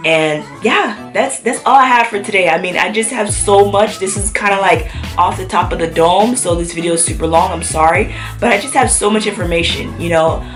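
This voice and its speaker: A woman's voice: mid-range voice, a little fast, music in the background. A woman vlogger talking casually to camera, chatty with many fillers, sick with a cough.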